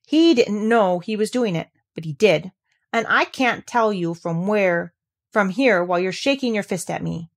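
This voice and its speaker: A woman's voice: mid-range voice, lively intonation, moderate pace. Stubborn, fierce young girl, obstinate and indignant, voiced by a woman.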